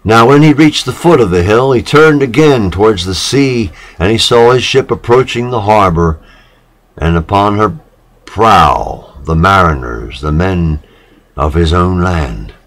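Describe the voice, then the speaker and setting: A man giving a solemn literary reading, measured and dramatic, with pauses between short phrases. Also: low-pitched, expressive, a bit bright, poor audio. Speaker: reader of poetic literature.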